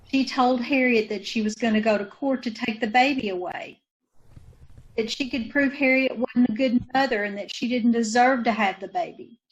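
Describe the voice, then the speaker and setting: A woman's voice: a little high, lightly acted, rough recording. A woman acting in an old-time radio drama, playing a witness who tells the police about a troubled friend in a plain, conversational way. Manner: plain, conversational storytelling with slangy phrasing.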